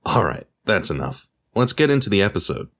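A man's voice: on the low side, big pitch swings, fairly quick, a bit bright. A man presenting a podcast as its host, reading scripted narration in an explanatory way. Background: music.

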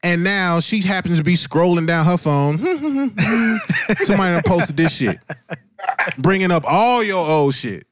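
A man's voice: sits high, a little slow, no echo, studio quality. A man hosting a casual podcast discussion, blunt and profane, repeating phrases for emphasis. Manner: blunt, animated, emphatic repetition for effect. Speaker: podcast host.

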